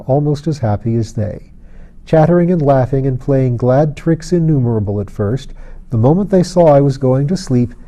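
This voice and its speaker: Guy reading a fantasy audiobook, really mellow dark tone, measured storyteller delivery. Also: mid-range voice. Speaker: audiobook narrator. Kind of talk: scripted narration of a fantasy novel.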